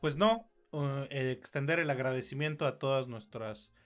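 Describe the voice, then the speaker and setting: Mexican man, mid-pitched, measured and articulate. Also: faint music behind. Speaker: podcast co-host. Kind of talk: conversational film review podcast.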